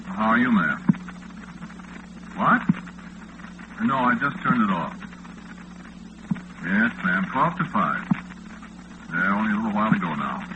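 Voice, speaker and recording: An actor playing a bewildered, harried late-night disc jockey in a flustered, exasperated 1940s radio drama style. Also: male voice, lowish voice, heavily acted. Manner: flustered, exasperated, muttering to himself. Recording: noisy lo-fi old radio recording.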